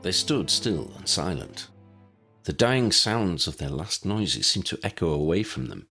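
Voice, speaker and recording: A man reading scripted story narration in an expressive storytelling manner. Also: low-pitched, unhurried, gravelly, slightly rough, faint music behind. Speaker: audiobook narrator.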